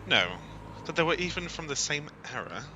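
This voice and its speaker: A man reading a visual novel aloud on a stream as dramatic, expressive narration, in a theatrical storytelling way. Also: fairly high pitch, normal speed, background noise.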